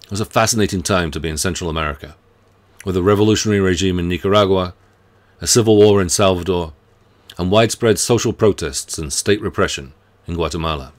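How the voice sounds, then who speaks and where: A middle-aged man, a university professor reading a measured, earnest self-introduction to students from his home study. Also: deep voice. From United Kingdom. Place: home study lined with bookshelves.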